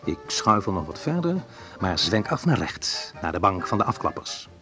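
A man's voice: sits low, very animated, normal speed, music in the background. An actor playing a wistful, reflective man who recalls awkward teenage love in an intimate radio play monologue. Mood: tense recollection.

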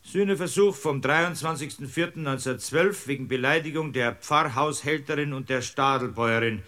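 A man's voice: medium pitch, normal speed, sharp tone, natural voice. An actor in a radio play playing a stern, middle-aged district judge, brusque, formal and exasperated as he questions a witness. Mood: scornful, formal.

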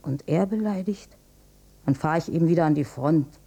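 A woman's voice: low voice, normal speed, warm tone, husky. An actress playing a weary, troubled German mother recalling her wartime marriage in an intimate, plain-spoken radio drama monologue. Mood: bitter, disgusted.

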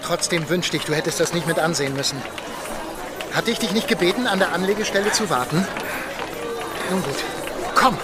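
A man's voice: on the high side, brisk, long pauses, natural voice. Protective father played by an actor amid crowd din. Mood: gently reproachful. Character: protective, evasive gentleman father soothing his young daughter. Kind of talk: historical radio play acting. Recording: loud busy outdoor crowd and street noise.

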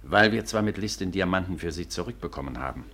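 An earnest police inspector in a radio play. His voice is very deep. He speaks formally and courteously, like an official. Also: animated, fast talker, a bit bright, heavily acted. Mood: deferential, concerned. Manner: formal, deferential, matter-of-fact. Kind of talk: 1950s German radio play acting.

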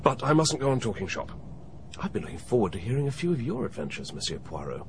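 An actor playing a genial, unruffled middle-aged banker in a radio drama, breezy and understated in manner. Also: male voice, medium pitch, big pitch swings, quick pace, background noise. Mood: breezy, amused. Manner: breezy, understated, self-deprecating. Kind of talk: BBC radio drama acting.

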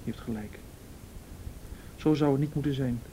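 An actor as a mellow, brooding Dutch man. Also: normal speed, close to an everyday voice. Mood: resolute. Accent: Netherlands Dutch. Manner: reflective, dryly ironic. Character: quietly determined, brooding male saboteur. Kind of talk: intimate radio drama acting. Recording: noisy radio drama recording, low rumble.

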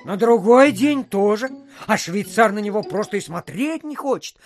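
An actor playing a chatty provincial official who spins a folksy, rambling tale in an audio play, full of filler phrases. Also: male voice, high-pitched, animated, normal speed, soft background music. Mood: animated, ironic. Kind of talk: theatrical storytelling in an audio play.

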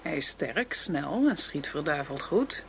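A teasing crime boss in a radio play, with a high-pitched voice. The manner is self-assured and wary. Faint ambient noise sits behind the speech. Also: male voice, expressive, a little slow, vocal fry, fairly bright tone, heavily acted, poor audio. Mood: cool, teasing. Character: cool, teasing crime boss who trusts nobody. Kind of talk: Dutch radio play acting.

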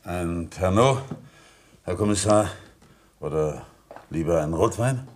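An actor playing an easygoing, drink-loving farm man in a German radio play, chummy and unhurried. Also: deep voice, lively intonation. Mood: chummy, coaxing. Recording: echoey radio play room, faint noise.

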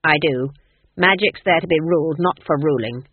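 A man reading the part of a proud, sharp-tongued old witch who speaks curtly and snaps. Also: a little high, moderate pace, husky, no echo, narrow, tinny audio. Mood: stern. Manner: curt, snapping.

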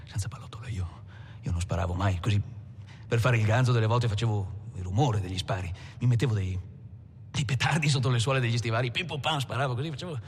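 An actor playing a swaggering gangster in a film, reminiscing colloquially with stammered repetitions. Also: male voice, medium pitch, quick pace, close to an everyday voice. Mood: boastful. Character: swaggering Italian gangster recalling his small-time bravado. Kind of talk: dramatic monologue, conversational reminiscing. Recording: reverberant hall, background noise.

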